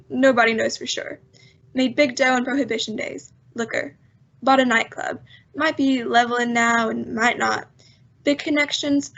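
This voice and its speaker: A woman playing a breezy, wisecracking male newspaper man in an old-time radio drama, chatty and slangy. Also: sits high. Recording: dull lo-fi audio.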